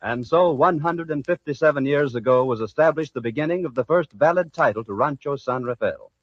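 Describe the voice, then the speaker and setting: A man's voice: on the high side, expressive, a little fast, no echo. A man reading scripted announcer narration in an old-time radio programme. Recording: dull lo-fi old radio recording.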